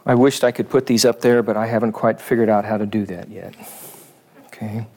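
A man preaching a sermon in a thoughtful, measured way, pausing to read quotations aloud. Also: on the low side, fairly even intonation, darkish tone.

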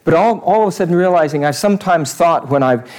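A man's voice: on the high side, expressive, fast talker, darkish tone. A male pastor giving a conversational sermon in church, repeating phrases for emphasis.

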